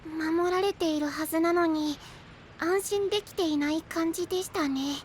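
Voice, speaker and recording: A man voicing a polite, timid young male knight in an anime voice drama, speaking in a soft, earnest way. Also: extremely high pitch, steady tone, normal speed, a little hiss or hum.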